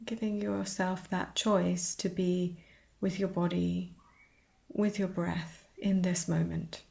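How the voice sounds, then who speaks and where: Slow-paced woman guiding meditation, creaky voice. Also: lowish voice, level tone, darkish tone. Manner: soothing, unhurried, pausing between short phrases. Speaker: yoga and meditation teacher. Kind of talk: guided meditation.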